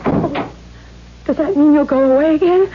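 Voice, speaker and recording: A woman's voice: sits high, normal speed, natural voice. A woman playing a timid, sheltered sixteen-year-old little sister, girlish and pleading, in 1970s radio drama style. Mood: pleading, curious. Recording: dull 1970s radio broadcast.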